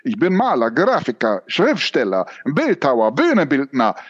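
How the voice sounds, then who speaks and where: A man's voice: medium pitch, huge pitch swings, measured pace, muffled recording. A man playing a vain, megalomaniac surrealist painter in an audio drama, declaiming pompously and stretching out his words. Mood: grandiose. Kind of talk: theatrical audio drama acting.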